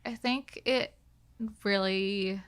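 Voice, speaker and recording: Young woman chatting casually, mid-pitched. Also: steady tone, drawn-out words, smooth. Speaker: podcast guest, game designer. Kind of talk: casual conversational chat.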